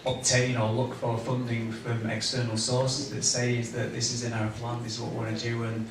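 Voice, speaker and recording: Flat voiced planner guy answering at a town meeting, lots of uh, noisy roomy recording. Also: medium pitch. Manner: halting, many fillers. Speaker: municipal planner. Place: community hall. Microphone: distant room mic. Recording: echoey hall from far off, noisy.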